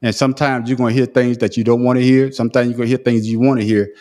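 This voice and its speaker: A man's voice: medium pitch, level tone, rapid-fire, darkish tone. A man hosting a casual podcast, arguing emphatically and restarting his sentences often. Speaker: podcast host. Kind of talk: heated casual debate.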